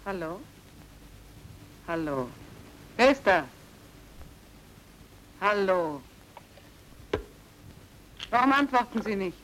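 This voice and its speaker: A woman's voice: mid-range voice, very animated, extremely slow, pauses often, a bit bright, exaggerated voice. Wary young woman. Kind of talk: old German radio play acting. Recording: faintly noisy old radio drama recording.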